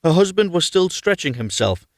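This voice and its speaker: A man reading a fairy tale aloud live as an expressive storyteller. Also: on the high side, normal speed, no echo, clean studio sound. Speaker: storyteller, reader.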